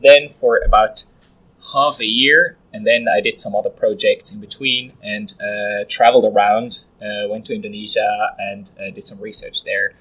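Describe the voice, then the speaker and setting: A young man from Switzerland with a fairly low, husky voice. He explains things in a casual, conversational way as an interview guest. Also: fairly even intonation, normal speed, fairly bright tone, low-quality recording. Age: young adult. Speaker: podcast guest.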